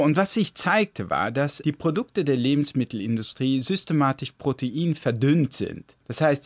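A man's voice: a little high, no echo. Dutch-born man explaining on radio. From Netherlands. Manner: explanatory, lively, laughs easily. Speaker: science journalist guest. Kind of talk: radio talk show interview.

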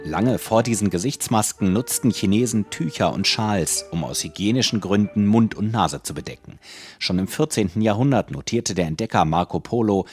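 Deep-voiced male radio correspondent. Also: a little mellow, slightly rough, no echo. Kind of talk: scripted radio report.

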